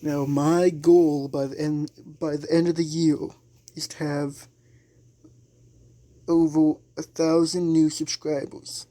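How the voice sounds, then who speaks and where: Slow, mellow young man gaming. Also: fairly high pitch, level tone. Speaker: gaming channel host. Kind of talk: casual gameplay commentary.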